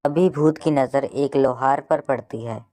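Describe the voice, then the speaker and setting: A woman's voice: very deep voice, unhurried, mellow. A woman narrating a scripted cartoon story.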